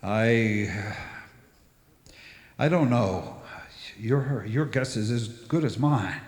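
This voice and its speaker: A man's voice: mid-range voice, drawn-out words, a little mellow. An actor playing a wary, concerned lighthouse keeper in a radio drama, hesitant and repeating his words. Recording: reverberant cassette radio drama.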